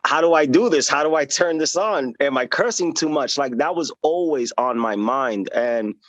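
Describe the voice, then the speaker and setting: A man's voice: on the high side, normal speed, no echo. Candid man in a dry recording. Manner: candid, humble, animated storytelling. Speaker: podcast guest, teacher and author. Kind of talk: casual interview chat.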